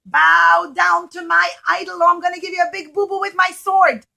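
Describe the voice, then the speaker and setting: A wicked storybook king, acted out by a woman, barks out orders in a high, bright voice. He is bossy and scheming, stressing his words loudly for a puppet show. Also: level tone, moderate pace, vocal fry, heavily acted. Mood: commanding, threatening. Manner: loud, commanding, emphatic.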